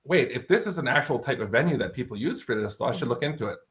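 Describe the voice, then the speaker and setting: A man's voice: mid-range voice, expressive, fast talker, fairly bright tone. American male author, thirties, mid-range voice, chatty anecdotal interview guest, slightly muffled sound. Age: 30s. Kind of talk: casual conversational storytelling.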